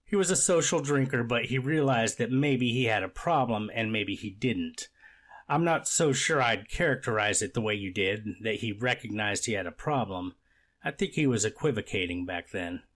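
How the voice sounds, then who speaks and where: A man's voice: fairly low pitch, lively intonation, fairly bright tone. A man playing a skeptical, deliberative judge in a readers theater of a court transcript, speaking formally and picking his words with care. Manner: formal, deliberate, hedging and self-correcting. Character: skeptical, deliberative male judge presiding over hearing.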